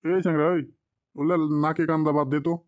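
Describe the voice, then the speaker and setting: A man as a callous, high-pitched bullying boss. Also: level tone, quick pace, dull audio, natural voice. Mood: scornful. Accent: rural Bengali dialect. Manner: scolding, dismissive. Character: callous, exploitative boss and his bullying henchman. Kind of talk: cartoon drama dialogue.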